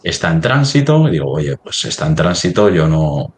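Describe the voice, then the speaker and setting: A man with an extremely deep voice presents a live webinar demonstration. He talks haltingly, thinking aloud as he works through each step on screen. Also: sing-song. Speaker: software demo presenter.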